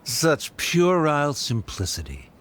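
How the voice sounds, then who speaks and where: A man's voice: a little high, huge pitch swings, unhurried, fairly bright tone, a little hiss or hum, exaggerated voice. A man playing a stern, middle-aged scholarly father in an anime game, talking in a pompous, disdainful way. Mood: haughty.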